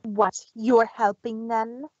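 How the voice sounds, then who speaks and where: A man voicing refined, theatrical female cartoon ponies with drawn-out delivery in a fan fiction reading. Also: extremely high pitch, level tone, dry recording, highs cut off, pitched above the performer's own voice, exaggerated voice. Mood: shocked.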